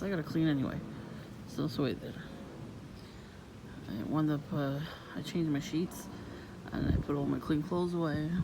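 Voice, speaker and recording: A woman with a low voice, vlogging in a soft-spoken, rambling and suspicious way outdoors near her home. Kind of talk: casual rambling monologue. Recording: noisy outdoor ambience.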